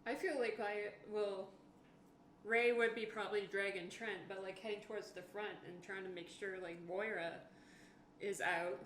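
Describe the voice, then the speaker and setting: A woman's voice: mid-range voice, fairly even intonation, moderate pace, a bit bright. Woman gamer in an echoey room. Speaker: tabletop game player. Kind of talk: casual improvised game chat. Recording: echoey room, clear background noise.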